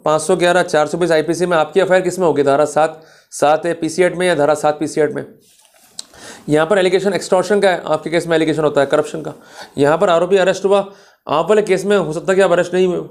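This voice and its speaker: A man giving an explanatory law lecture, addressing listeners directly in a didactic way and mixing in English legal terms. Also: on the high side. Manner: didactic, checks understanding with questions, mixes in English legal terms.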